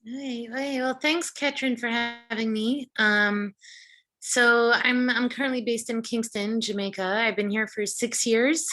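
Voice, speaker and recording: Bright, high-voiced woman guest. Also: steady tone, a little slow. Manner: reflective, thinks aloud with fillers and restarts. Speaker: guest, vegan restaurant founder and chef. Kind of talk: casual conversational interview.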